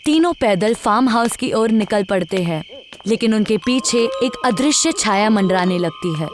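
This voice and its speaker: A woman's voice: mid-range voice, animated, moderate pace, a little hiss or hum. A woman narrating a scripted horror story. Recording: music under the voice.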